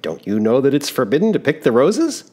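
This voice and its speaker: A man reading a storybook aloud, voicing a smiling male town constable who speaks with great courtesy and gentle insistence. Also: mid-range voice, very animated, a little fast, voice pushed up. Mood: courteous.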